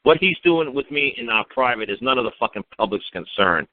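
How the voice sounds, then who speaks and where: Fast-talking, profane man on a lo-fi call. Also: medium pitch, animated. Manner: profane, blunt, emphatic; mocking rhetorical questions. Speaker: talk show speaker advising a caller. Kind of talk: ranting informal advice on a phone-in talk. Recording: narrow-band internet radio call.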